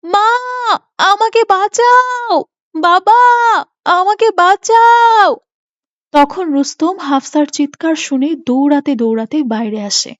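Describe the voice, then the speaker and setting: A woman's voice: sits high, a little mellow, dry recording. A woman reading scripted story narration for a moral cartoon. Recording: muffled audio with faint music behind.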